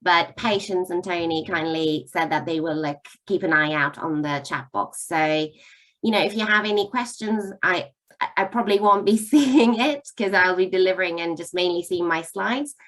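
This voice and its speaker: A woman with a slightly low voice opening an online webinar as a coach. She talks in a friendly, chatty way, with plenty of small fillers between her thoughts. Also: normal speed, rough recording. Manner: warm, chatty, lots of fillers. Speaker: coach and psychologist presenter. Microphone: video call mic.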